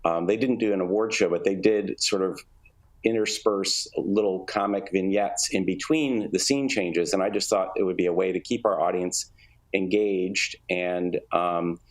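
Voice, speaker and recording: A man giving conversational interview answers, explaining things in a measured, halting way. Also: deep voice, steady tone, low-quality recording. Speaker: interview guest, theatre artistic director.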